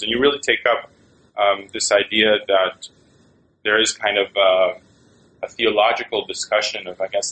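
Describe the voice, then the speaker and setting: A man conducting a conversational academic interview, halting as he searches for words. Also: fairly low pitch, pushed and bright, a little hiss or hum, low-quality recording.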